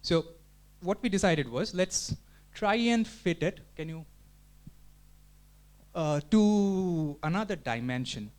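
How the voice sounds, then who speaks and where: A man's voice: high voice, animated, slow, long pauses, a little mellow. Man presenting to a meetup, thinking aloud. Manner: thinks aloud with frequent restarts and fillers. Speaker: community presenter. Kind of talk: explanatory presentation talk. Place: meetup room.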